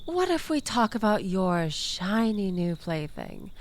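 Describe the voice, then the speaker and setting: A woman's voice: medium pitch, big pitch swings, a little slow. A woman playing a manipulative, flirtatious toxic ex-lover in an intimate audio roleplay, coaxing and teasing with mock innocence. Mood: mock-innocent, needling.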